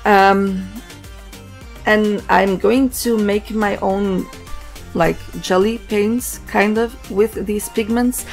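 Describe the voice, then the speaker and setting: A woman hosting a nail art tutorial, hoarse and raspy from a cold, narrating in a relaxed, chatty way with plenty of fillers. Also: medium pitch, slow, faint music behind. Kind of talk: casual voice-over tutorial narration.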